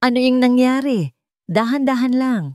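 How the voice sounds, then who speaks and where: A woman's voice: high-pitched, normal speed, a little mellow, no echo, studio quality, pitched above the performer's own voice, lightly acted. Woman playing an earnest Filipino older sister. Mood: surprised. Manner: serious, direct, questioning.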